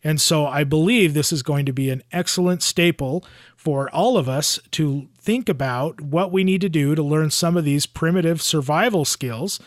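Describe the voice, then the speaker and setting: Male podcast host, American, slightly high voice, close dry recording, presenting show news and guests. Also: normal speed, no room sound. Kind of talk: podcast announcements and interview.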